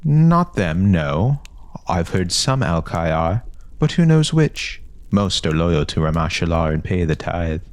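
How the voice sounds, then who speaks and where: A man's voice: low-pitched, sing-song, a little slow, dry recording. A man voicing a bossy, worldly older boy who leads a gang in a fantasy story, speaking with knowing confidence.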